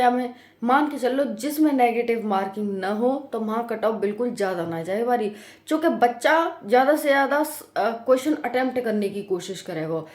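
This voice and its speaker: Female coach, western UP Braj accent, higher voice, informal and direct explainer style, recorded in a very echoey room. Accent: Braj, western Uttar Pradesh. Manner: colloquial, direct, checks in with tag questions. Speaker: exam coaching YouTuber. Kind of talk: informal explanatory talk to students.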